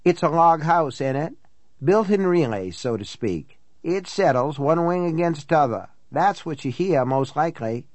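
A man's voice: on the high side, lively intonation, unhurried, darkish tone, no echo, voice pushed up. A man voicing an older small-town housekeeper woman from an audiobook, blunt, gossipy and matter-of-fact. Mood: brisk, blunt. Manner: folksy, matter-of-fact storytelling. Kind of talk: audiobook character dialogue.

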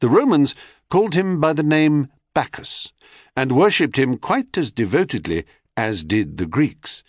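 A man reading a scripted myth narration in an expressive, theatrical way, with wry, dry asides. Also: medium pitch, measured pace, dry recording. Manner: wry, dryly witty asides. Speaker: audiobook narrator.

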